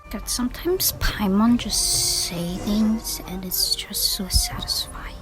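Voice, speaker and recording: A woman's voice: medium pitch, takes it slowly, whispered, over music. A woman giving casual commentary on a game as she plays, informal and slangy, thinking aloud. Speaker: streamer reacting to game story.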